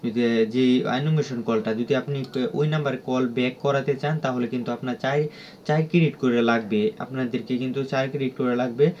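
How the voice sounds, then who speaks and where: Bangladeshi male tutorial presenter, halting and repetitive, unscripted, on a muffled recording with clear background noise. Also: medium pitch, fairly even intonation, a little mellow. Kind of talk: unscripted screen-recorded app walkthrough. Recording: dull lo-fi audio with clear noise.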